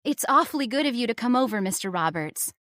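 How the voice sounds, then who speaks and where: Woman playing a high-voiced, polite American daughter. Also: animated, fast talker, fairly bright tone, no room sound, studio quality. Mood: polite, gracious. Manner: polite, formal, well-spoken. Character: polite, poised young American daughter, gently reproving. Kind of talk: old-time radio drama acting.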